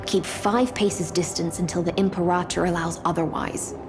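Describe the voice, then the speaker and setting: A woman's voice: fairly low pitch, steady tone, normal speed, natural voice. A woman playing a cool, wry, seasoned warrior guide in a video game, with a dry, commanding manner. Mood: cool, instructive. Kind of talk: English video game voice acting. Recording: loud music behind the voice.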